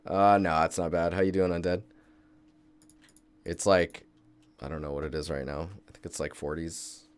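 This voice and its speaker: A man's voice: deep voice, flat delivery, normal speed, smooth. A young man from Seattle giving a laid-back live-stream tutorial at his desk, thinking aloud and answering chat as he works. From Seattle, Washington State, US. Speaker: game environment artist streaming. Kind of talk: casual live-stream tutorial, chatting with viewers.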